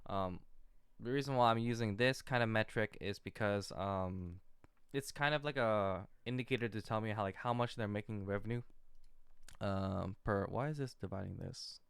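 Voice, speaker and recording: A man giving an off-the-cuff screen-share commentary as an amateur investor, talking in a halting, rambling way. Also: on the low side, creaky, fairly bright tone, clean tone, no room sound. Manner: halting, rambling, hesitant delivery. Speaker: retail investor recording a portfolio update.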